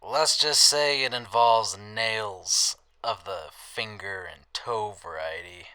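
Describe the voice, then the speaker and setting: A man playing a wry, roguish wasteland drifter with a soft heart in an audio drama. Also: fairly low pitch, takes it slowly, smooth. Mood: sly, cautioning.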